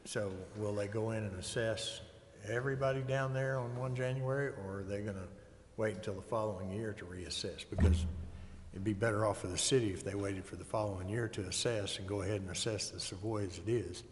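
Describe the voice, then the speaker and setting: A man with a fairly low voice talks through points informally at a council meeting. The chamber gives his voice a long reverb. Faint noise sits behind him. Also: fairly even intonation, fairly quick. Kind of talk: informal discussion and questioning.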